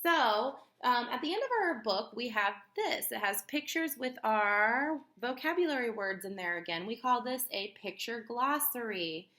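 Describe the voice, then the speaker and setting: A woman reading a children's book aloud like a teacher, expressive and emphatic, pausing to explain and put questions to her young listeners. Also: fairly high pitch. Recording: roomy, echoey room sound.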